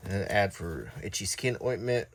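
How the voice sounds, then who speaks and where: A man reading an old newspaper aloud in a low-key, casual ASMR style, adding offhand comments as he browses. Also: expressive, normal speed, a little hiss or hum.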